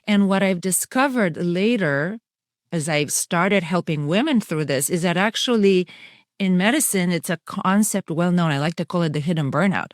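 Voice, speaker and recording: A middle-aged woman speaks in a low register, explaining ideas earnestly in long, flowing runs. She is a guest on a podcast interview. The sound is dry and close, with no room echo. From Romania. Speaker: guest psychiatrist and coach.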